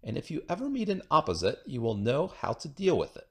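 A man reading a children's picture book aloud in an expressive storytelling manner. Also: on the high side, fairly quick, gravelly, slight background noise. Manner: expressive storytelling with acted dialogue. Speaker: storybook reader.

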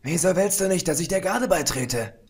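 A man's voice: high-pitched, animated, normal speed, voice pushed up. A man voicing a cocky young pony mare. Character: brash tomboyish young mare pegasus.

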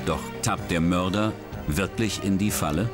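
Low-voiced male documentary narrator. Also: unhurried. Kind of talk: scripted true-crime narration. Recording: studio voice-over with music bed.

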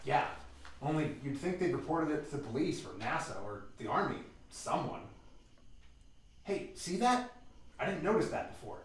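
A man's voice: fairly high pitch, big pitch swings, normal speed. A man playing a casual soldier on a retrieval mission in a radio drama. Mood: wary. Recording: very echoey, distant, faint noise.

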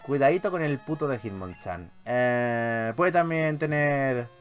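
A man with an accent from Spain doing excitable, theatrical game commentary, swearing freely and repeating his phrases. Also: medium pitch, unhurried, faint music behind. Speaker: gameplay video host.

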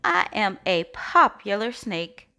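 A woman's voice: mid-range voice, big pitch swings, slow pace, vocal fry, sharp tone, faint music behind, heavily acted. A woman voicing a popular female snake in a storybook, self-assured and reassuring as a candidate. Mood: confident, ingratiating. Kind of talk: storybook read-aloud.

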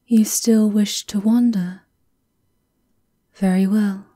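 A woman performing a stern, dutiful female castle guard in an ASMR roleplay, speaking in a hushed, formal, measured way. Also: medium pitch, fairly even intonation. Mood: dutiful, wary.

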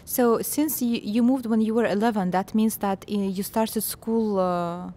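A woman's voice: mid-range voice, fairly even intonation, measured pace, mellow. A woman hosting an interview in a studio, asking questions and affirming with short replies. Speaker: podcast host. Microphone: boom-arm studio mic.

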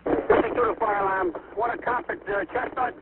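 A male fire incident commander giving brisk orders over a two-way radio. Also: very high voice, very animated. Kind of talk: fireground radio traffic. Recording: tinny, noisy fireground radio recording.

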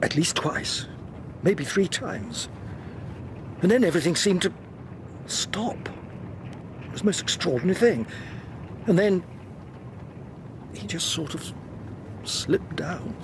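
A flustered, higher-voiced gentleman. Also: lively intonation, takes it slowly, pauses often, noisy background. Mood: shaken. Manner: hesitant, polite, flustered. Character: flustered, apologetic gentleman witness, anxious to be exact. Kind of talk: radio drama acting.

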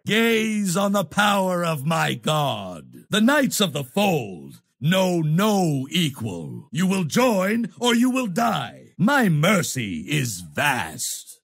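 A domineering crusader knight for a video game, proud and devout to the point of zealotry. He declaims slowly and solemnly in a gravelly voice. His pitch swings dramatically as he pronounces his imperious judgments. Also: wildly swooping pitch, a bit bright, heavily acted. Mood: menacing, righteous. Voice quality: raspy, gravelly. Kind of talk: video game character voice lines.

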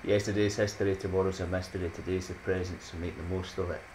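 A deep-voiced Scottish man in his thirties talks into a desk mic in a small room at home. He rambles in a loose, upbeat way, laughs at his own jokes and slips in motivational sayings. A faint hiss sits under the voice. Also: level tone, fast talker, a little mellow, poor audio. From Scotland. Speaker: YouTuber. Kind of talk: casual chatty vlog outro.

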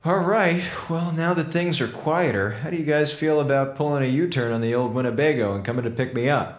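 A man playing a wisecracking, panicky space officer in an audio drama, with a sarcastic, excitable, slangy way of talking. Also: fairly high pitch, brisk, roomy sound, faint music behind, close to an everyday voice. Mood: strained, weary. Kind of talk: audio drama acting in golden-age radio tradition.

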